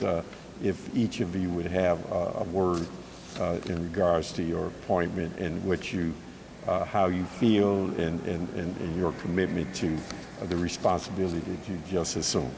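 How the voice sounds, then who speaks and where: Deep-voiced official, halting delivery. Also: male voice, fairly even intonation, gravelly. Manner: halting, searching for words. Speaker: official leading the ceremony. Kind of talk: formal remarks. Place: press conference hall. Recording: noisy room ambience.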